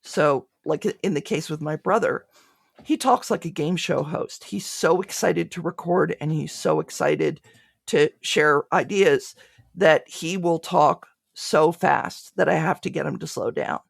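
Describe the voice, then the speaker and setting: A woman's voice: low-pitched, animated, a little slow, vocal fry, breathy edge, no room sound, studio quality. A woman being interviewed, speaking reflectively and conversationally, often restarting her phrases mid-thought. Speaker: podcast creator being interviewed.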